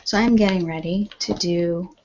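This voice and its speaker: A woman's voice: fairly low pitch, normal speed, mellow, slight background noise. A woman chatting casually in a rambling, informal way as an ASMR host. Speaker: ASMR host and massage therapist. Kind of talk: casual live chat. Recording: dull, lo-fi sound.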